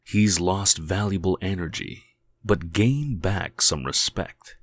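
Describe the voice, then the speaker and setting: A man's voice: low-pitched, slow, no room sound, studio quality. A man reading scripted dramatic narration for a comic dub.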